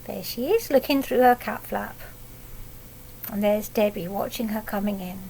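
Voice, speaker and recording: A woman reading a picture book aloud to young children, pausing to explain things simply and ask them questions. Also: mid-range voice, creaky, dark tone, slight background noise, low-quality recording. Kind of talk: read-aloud picture book storytelling with asides.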